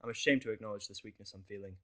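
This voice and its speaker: A man's voice: fairly low pitch, steady tone, very fast, pushed and bright. A man reading scripted first-person fiction as the narrator of an audio story.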